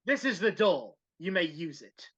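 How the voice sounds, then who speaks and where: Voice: medium pitch, big pitch swings, moderate pace, a bit bright, slightly rough. A performer reading a stern, miserly businessman with a curt, scornful manner in a live dramatic reading over a video call. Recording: dull lo-fi video-call audio.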